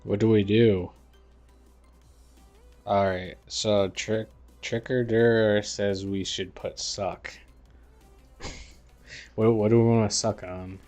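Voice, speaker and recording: Male streamer, slightly low voice, very unhurried, slangy laid-back gamer chat. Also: fairly even intonation, a little mellow, soft background music. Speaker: livestream host. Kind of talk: casual livestream chat while gaming.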